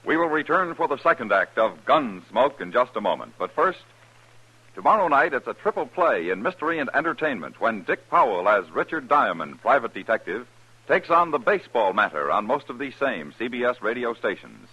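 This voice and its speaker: A man's voice: mid-range voice, big pitch swings, brisk, bright, forceful tone. A man announcing in punchy, dramatic 1950s radio style, reading a scripted promo. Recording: dull, rough old radio recording.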